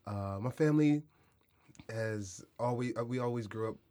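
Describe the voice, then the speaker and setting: A man's voice: mid-range voice, normal speed. Laid-back man in his thirties. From Kansas City, Missouri, USA. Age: 30s. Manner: relaxed, laid-back slang, reflective. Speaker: podcast guest. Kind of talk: casual interview chat.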